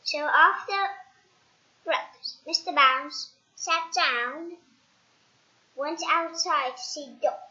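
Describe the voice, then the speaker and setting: A child reading a storybook aloud in an animated way, haltingly, with restarts and dramatic emphasis. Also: high voice, dull audio. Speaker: storybook reader.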